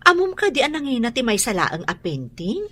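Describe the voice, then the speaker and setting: A woman's voice: on the high side, sing-song, fairly quick, bright, forceful tone, husky, heavily acted. A woman with a Filipino accent playing a supportive, kindly family member in an audio drama. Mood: encouraging. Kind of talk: Ilocano audio drama acting.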